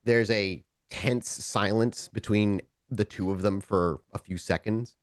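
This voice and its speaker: A man working as game master, improvising descriptive narration in a conversational way, often pausing for drama and correcting himself mid-sentence. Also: lowish voice, fairly even intonation, no room sound, studio quality. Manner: halting, self-correcting, dramatic pauses. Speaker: storyteller, game master of a tabletop roleplay show.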